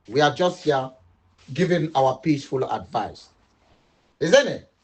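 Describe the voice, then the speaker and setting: A man's voice: mid-range voice, animated, measured pace, a little hiss or hum. A middle-aged man giving an impassioned political appeal, emphatic and repetitive, switching between English and Dutch. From Sierra Leone. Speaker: political commentator.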